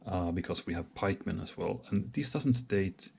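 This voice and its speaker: A man thinking aloud while he sets up a solo wargame, talking hesitantly with many pauses and restarts. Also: low voice, animated, creaky, a little mellow, breathy edge. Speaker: solo board game player.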